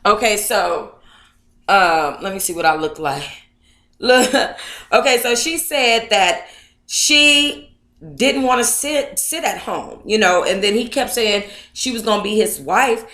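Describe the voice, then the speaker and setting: A woman's voice: medium pitch, expressive, slow pace, pushed and bright. An American woman hosting a book club livestream, chatting casually and reading aloud in a sassy, colloquial manner. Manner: sassy, colloquial, addresses listeners as honey and baby. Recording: echoey, roomy sound.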